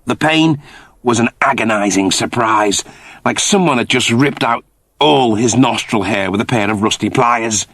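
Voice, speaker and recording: A man's voice: medium pitch, moderate pace, fairly bright tone, no echo. A man narrating a scripted story in a dramatic storytelling style. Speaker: audiobook narrator.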